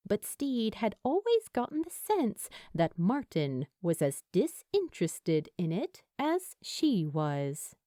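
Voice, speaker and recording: Expressive, unhurried woman narrating fiction. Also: fairly low pitch, no echo, clean studio sound. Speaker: audiobook-style fan fiction narrator. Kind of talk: scripted story narration.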